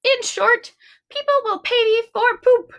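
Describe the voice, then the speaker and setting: A woman's voice: very high voice, a little slow, a bit bright, pitched above the performer's own voice, exaggerated voice. A woman voicing an eager, formal young girl earnestly pleading her case in a children's storybook. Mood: eager, persuasive.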